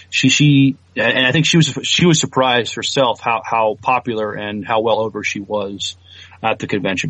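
A man's voice: fairly low pitch, a bit bright, no echo, dull audio. A man co-hosting a podcast, speaking hesitantly with many fillers. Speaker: podcast co-host. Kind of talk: casual podcast chat.

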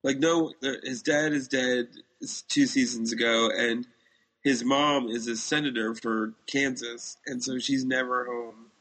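A man's voice: medium pitch, fairly even intonation, unhurried, pushed and bright, rough recording. A man co-hosting a podcast in casual chat, speaking in an opinionated way. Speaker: podcast co-host. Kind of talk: casual chat about TV shows.

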